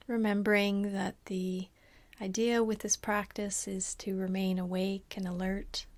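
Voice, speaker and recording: Soft, unhurried woman guiding meditation. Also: medium pitch. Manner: calm, soothing, unhurried with long pauses. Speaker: meditation teacher. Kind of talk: guided body scan meditation.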